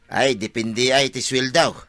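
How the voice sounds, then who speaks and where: A man's voice: mid-range voice, fairly even intonation, normal speed, pushed and bright. A man playing a lazy, shameless debtor in an Ilocano-accented comedy radio drama, brazen and dismissive. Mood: flippant. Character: lazy, shameless jobless debtor, stubbornly flippant.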